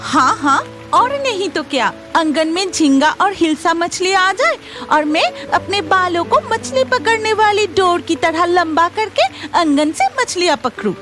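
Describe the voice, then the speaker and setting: A woman voicing an excitable, sharp-tongued but willing village wife in a cartoon story, with expressive exclamations. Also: very high-pitched, measured pace, music in the background. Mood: wistful. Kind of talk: Hindi cartoon story dialogue.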